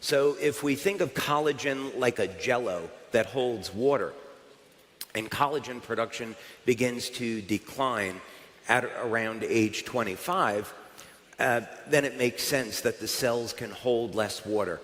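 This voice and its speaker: An American man presents live from a stage in a chatty, upbeat way, with fillers and restarts. His voice is mid-pitched. The sound carries the long reverb of a large hall. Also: a little slow, fairly bright tone. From United States. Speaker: company presenter at a conference.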